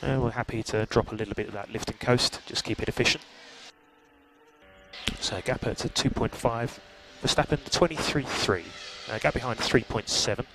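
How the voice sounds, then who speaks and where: A man working as a race engineer, calmly reading out gaps and lap times over team radio. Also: medium pitch, normal speed, faint music behind. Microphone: headset radio. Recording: noisy team radio line.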